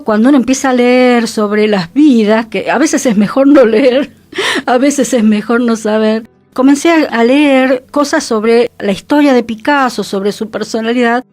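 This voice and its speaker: A woman with an Argentine accent from Buenos Aires speaks in a fairly high voice. She shares personal reflections in the manner of radio commentary, thinking things through as she goes. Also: darkish tone. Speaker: radio show contributor.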